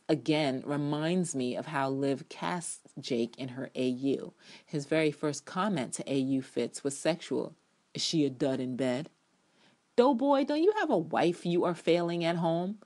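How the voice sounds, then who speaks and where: Low-voiced woman reading her review dramatically. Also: darkish tone. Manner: emphatic, sassy, blunt with profanity and slang.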